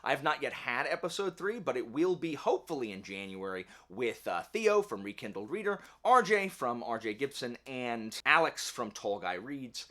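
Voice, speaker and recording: Man, bright voice, casual vlog host, rambling, wry, self-deprecating, talking to viewers. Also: mid-range voice, big pitch swings, moderate pace, hardly any pauses. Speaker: YouTube book channel host. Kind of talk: casual channel update to camera. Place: indoor home office.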